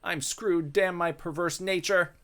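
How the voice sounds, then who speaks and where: A man's voice: sits high, fairly even intonation, unhurried, fairly bright tone, pitched above the performer's own voice. A man voicing a mischievous, vain, lecherous male ghost in a theatrical, exclamatory way for a visual novel. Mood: panicked.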